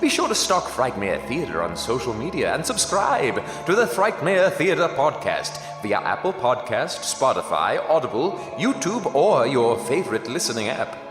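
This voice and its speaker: A man playing an ominous, formal horror radio announcer, reading scripted credits in a grandiose manner. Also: medium pitch. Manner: grandiose, theatrical. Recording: echoey hall reverb.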